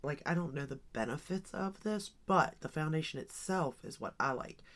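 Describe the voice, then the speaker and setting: A woman's voice: deep voice, lively intonation, normal speed, fairly bright tone. A woman in her forties chatting casually while doing her makeup, rambling and thinking aloud with lots of ums and likes. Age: 40s. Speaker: beauty vlogger. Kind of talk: casual get-ready-with-me makeup chat.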